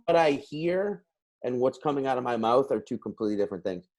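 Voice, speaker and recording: A man's voice: medium pitch, lively intonation, a little mellow. A man co-hosting a podcast from his apartment over a casual video call. He jokes freely, swears often and laughs at his own bits. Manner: swearing, joking, quick to laugh. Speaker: podcast co-host. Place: home in a city apartment.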